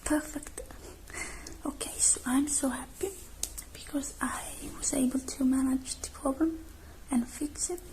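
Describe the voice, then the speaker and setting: Slow, whispering woman in ASMR roleplay. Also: high-pitched, fairly even intonation, a little mellow, poor audio. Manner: soothing, personal attention addressed to the listener. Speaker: ASMR creator playing a robot repair technician. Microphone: close ASMR microphone.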